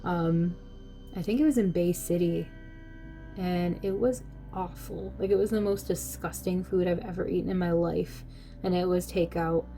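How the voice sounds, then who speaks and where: A woman's voice: on the low side, fairly even intonation, normal speed, mellow, faint music behind. A young adult woman answering questions in a casual vlog, chatty with lots of "like" and frequent laughs. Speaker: vlogger.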